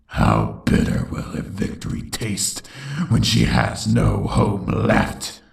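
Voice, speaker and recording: A man playing a bitter, menacing pony tyrant in a dramatic comic dub, with a gravelly growl and brooding, theatrical delivery. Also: fairly low pitch, big pitch swings, takes it slowly, reverberant, exaggerated voice. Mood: vengeful.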